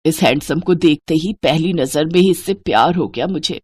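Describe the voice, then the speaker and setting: A scheming middle-aged stepmother, played by a woman narrator in a moral story. She speaks low, coy and insinuating, with shameless desire. The sound is dry, with no room to it. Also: normal speed, darkish tone, dry recording. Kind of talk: Hindi moral-story cartoon voice acting.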